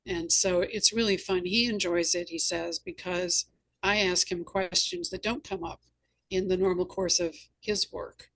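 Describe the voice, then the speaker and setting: A woman's voice: fairly low pitch, fairly even intonation, a little slow, a bit bright, no echo, poor audio. A woman hosting a conversational interview, chatting and sharing anecdotes. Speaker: interview host and novelist.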